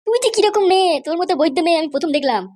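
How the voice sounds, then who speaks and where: A performer acts out a blustering husband and father in a comedy cartoon. He is short-tempered and scolding. His voice is oddly high for a man and races along at a very quick clip. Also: animated, darkish tone. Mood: annoyed. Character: hot-tempered, foul-mouthed father and husband.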